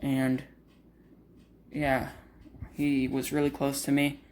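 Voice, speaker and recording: A man vlogging while grieving, his voice flat and monotone, barely moving up or down. He talks slowly and haltingly, with lots of fillers and restarts. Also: medium pitch, slight background noise. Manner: monotone, uninteresting voice. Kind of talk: casual talk to camera.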